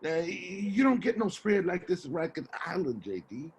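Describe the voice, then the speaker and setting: An actor playing a rambling, wheedling homeless man with American street slang and a husky, stammering delivery, in a stage play reading. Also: high voice, sing-song, fairly quick, a little hiss or hum. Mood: coaxing. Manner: stammering, repeats words, folksy street slang.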